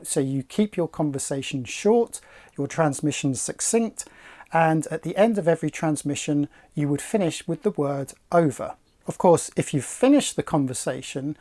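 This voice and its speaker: A middle-aged man presenting to camera, explaining things in a measured, articulate, slightly formal way. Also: fairly high pitch, a little mellow. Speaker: sailing channel presenter.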